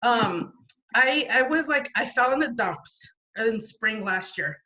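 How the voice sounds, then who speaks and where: A woman's voice: fairly high pitch, animated, takes it slowly, pushed and bright, dull audio. A woman guest telling her story in a chatty, rambling way, full of restarts and fillers, heard over a video call. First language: not French. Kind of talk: casual interview storytelling.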